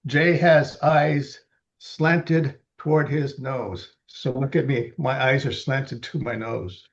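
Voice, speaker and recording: A man in his seventies from Los Angeles reads his own poems slowly and deliberately, with halting, chatty asides between them. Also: medium pitch, steady tone, highs cut off. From Los Angeles, USA. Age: 70s. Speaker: poet reading his libretto. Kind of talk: poetry reading with casual asides.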